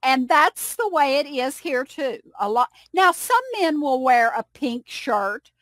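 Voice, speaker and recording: An older woman from Kentucky with a Kentucky accent, chatting slowly on a video call through a headset and explaining with many restarts. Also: high-pitched, expressive, a bit bright, no echo. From United States. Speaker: English conversation partner. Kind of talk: casual video call chat. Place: home room indoors.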